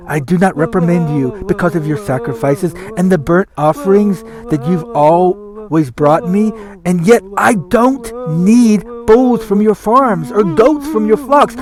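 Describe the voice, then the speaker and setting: A man giving a solemn, declamatory, theatrical reading of scripture. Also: high voice. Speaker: scripture reader. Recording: music under the voice. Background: music.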